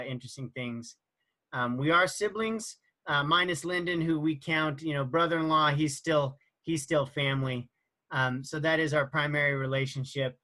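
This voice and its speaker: A man in his forties, the team captain, introducing his family team in a halting way with many fillers. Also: a little high, bright, forceful tone, low-quality recording. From Idaho, USA. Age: 40s.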